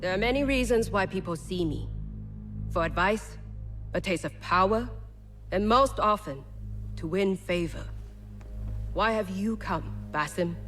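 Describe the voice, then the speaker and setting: A woman's voice: medium pitch, a bit bright, faint music behind, close to an everyday voice. Poised, shrewd wealthy woman, played by an actress. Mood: reflective, guarded. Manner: measured, probing, self-possessed. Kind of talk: dramatic game cutscene acting.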